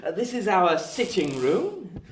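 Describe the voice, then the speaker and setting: A man playing a genial, well-bred young gentleman, polite and slightly flustered, in a Victorian radio play. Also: high voice, wildly swooping pitch, measured pace, slight background noise, full character voice. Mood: apologetic, eager. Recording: echoey room.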